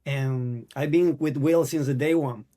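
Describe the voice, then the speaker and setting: Venezuelan man, earnest halting English. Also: medium pitch, mellow. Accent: Venezuelan Spanish. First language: Spanish. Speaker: actor guest. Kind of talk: casual interview.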